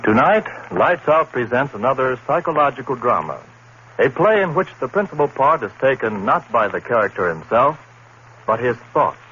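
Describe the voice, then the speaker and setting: Male vintage radio announcer, formal, theatrical, lively pitch movement, muffled noisy recording. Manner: dramatic, theatrical, measured phrasing. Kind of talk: formal 1950s scripted radio introduction.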